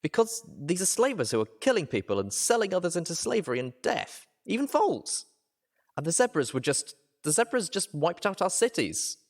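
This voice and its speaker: Man voicing an impassioned, high-pitched young female pony. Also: very animated, moderate pace, heavily acted. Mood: flustered, defensive. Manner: exclaiming, emotional, stressed.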